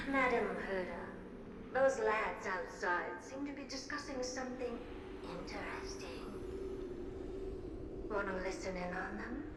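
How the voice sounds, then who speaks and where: A woman's voice: mid-range voice, wildly swooping pitch, very slow, pauses often, exaggerated voice. An actress voicing a female magic mirror servant in a video game, fawning, singsong and mischievous. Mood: sly mischief. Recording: echoey, distant, very noisy ambience.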